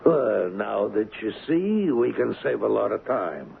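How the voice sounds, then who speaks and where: A man playing a cocky, wisecracking gangster in an old-time radio crime drama, slangy and taunting, with rough grammar. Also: lowish voice, very animated, unhurried, phone-quality sound, heavily acted. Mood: cocky, menacing. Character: cocky, wisecracking street-tough gangster hired as an agent. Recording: thin, band-limited, hissy old radio recording.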